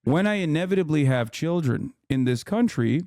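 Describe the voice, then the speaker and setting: A man from Turkey, a native Turkish speaker, hosting a live debate and explaining at length in a patient, deliberate way. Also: fairly high pitch, expressive, darkish tone, dry recording, clean studio sound.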